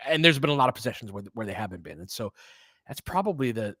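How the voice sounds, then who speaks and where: Male basketball play-by-play host, medium pitch, casual and conversational analysis, very dry sound. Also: sing-song, fast, a bit bright, no room sound. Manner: conversational, thinking aloud. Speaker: host, fan play-by-play commentator.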